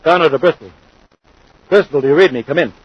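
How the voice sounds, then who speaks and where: Domineering radio villain, muffled old recording. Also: male voice, on the high side, fast talker, breathy edge. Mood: commanding. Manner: curt, domineering. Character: cunning commanding criminal mastermind scientist. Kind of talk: 1950s radio serial acting. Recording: noisy, dull 1950s radio recording.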